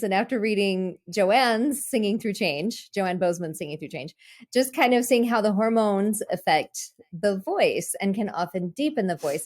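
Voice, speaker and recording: A woman's voice: medium pitch, a little mellow. A woman, a voice teacher hosting a podcast, talking casually and thinking aloud with many fillers and easy laughs.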